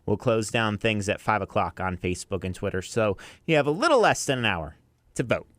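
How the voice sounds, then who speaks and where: A man's voice: lowish voice, sing-song, fairly quick, fairly bright tone, dry recording. An American man presenting an afternoon music radio show as its DJ, linking songs and reading sponsor messages. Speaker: radio DJ, afternoon drive host. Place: radio studio. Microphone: close broadcast microphone.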